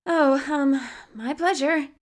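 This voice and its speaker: A woman's voice: sits high, fairly even intonation, slow pace, pitched above the performer's own voice. A woman voicing a guarded, awkward teenage girl hacker with a dry, hesitant manner in a fan fiction reading.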